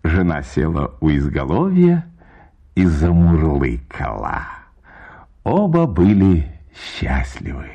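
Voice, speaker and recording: Неторопливый мужской голос, глуховатый, с хрипотцой. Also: male voice, a bass voice, breathy edge, muffled recording. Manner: unhurried, expressive storytelling with pauses. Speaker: actor reading a short story. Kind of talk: theatrical literary narration.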